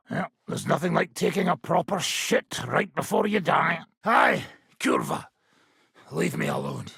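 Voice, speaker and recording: A rough, hard-drinking soldier from a video game, with an extremely raspy, gravel-filled voice. His pitch sits high for a man and swings wildly as he speaks, coarse and hot-tempered. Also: bright, forceful tone, no room sound, clean studio sound, full character voice. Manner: coarse, swearing, blunt. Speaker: game character voice actor. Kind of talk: video game character dialogue.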